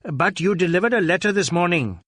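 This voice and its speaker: A man's voice: sits high, expressive, a little fast, fairly bright tone, no room sound, voice pushed up. A man voicing an urgent, insistent male police inspector in an audiobook detective story. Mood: insistent, demanding. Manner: exclaiming, insistent, demanding.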